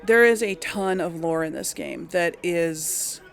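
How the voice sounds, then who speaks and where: A woman's voice: fairly low pitch, measured pace, creaky. A woman hosting a casual game playthrough, chatting informally to her audience and thinking aloud. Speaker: host of a game playthrough series. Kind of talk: casual chatty let's play commentary.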